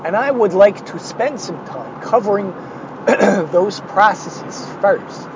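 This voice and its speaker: Hoarse, high-voiced man rambling. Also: animated, slow, highs cut off, background noise. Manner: digressive, hesitant with many fillers, sardonic asides. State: hoarse, losing his voice. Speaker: art instructor. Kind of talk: rambling spoken art lesson.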